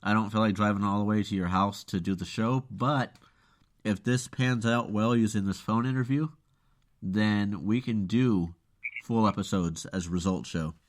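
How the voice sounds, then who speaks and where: Man, stuffy nose, nasal, lower voice, blunt casual podcast chat. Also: moderate pace. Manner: blunt, offhand. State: congested from a cold. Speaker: podcast host.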